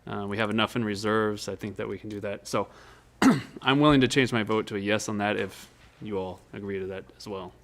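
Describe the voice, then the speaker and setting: A councilman with a somewhat low but sharp, bright voice explains why he is changing his vote. He talks loudly and informally. He is speaking in a council chamber. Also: brisk. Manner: loud, informal. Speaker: council member. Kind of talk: council meeting remarks.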